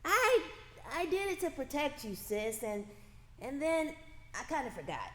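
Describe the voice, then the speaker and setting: Woman playing a cheeky, high-pitched, drawling young boy. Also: sing-song, fairly bright tone, full character voice. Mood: sheepish. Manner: slangy, drawn-out, teasing. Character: cheeky, lazy, ice-cream-loving young brother. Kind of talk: broad radio comedy acting. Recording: echoey hall reverb.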